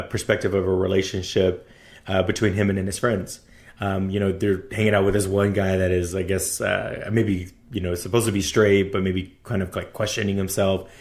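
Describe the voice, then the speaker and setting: Low gravelly male interview host. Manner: halting, many ums and you-knows. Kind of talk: casual interview talk.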